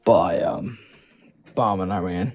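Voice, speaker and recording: A man's voice: sits low, slow, a little mellow, soft background music. A young man calling into a political show, hesitant and stumbling over his words with casual slang. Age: young adult. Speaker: caller.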